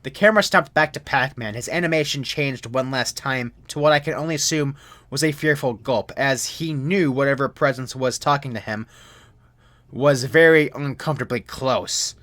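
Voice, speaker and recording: A young man narrates a scripted horror story. His voice is medium in pitch, and he speaks in a serious, measured storytelling manner. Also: a bit bright.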